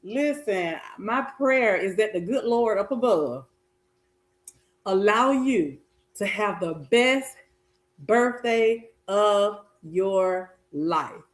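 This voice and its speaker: A middle-aged woman chatting casually as she cooks, her voice slightly raspy as it recovers. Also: medium pitch, expressive, takes it slowly, roomy sound. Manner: chatty, warm greetings and blessings to viewers. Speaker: health coach and vlogger. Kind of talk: casual cooking vlog chat. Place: home kitchen.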